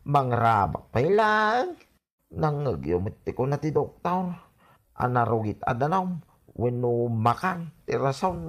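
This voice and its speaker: Woman doing a complaining villager for radio comedy, lowish voice, swings all over, lots of exclaiming. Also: heavily acted. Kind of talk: Ilocano radio comedy drama acting.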